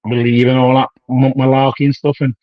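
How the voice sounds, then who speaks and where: Slangy British-raised bodybuilder, dry lo-fi. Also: male voice, mid-range voice, level tone, brisk, dry recording. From United Kingdom. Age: 30s. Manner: rambling, slangy, acts out quoted dialogue. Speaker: professional bodybuilder guest. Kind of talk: casual interview chat.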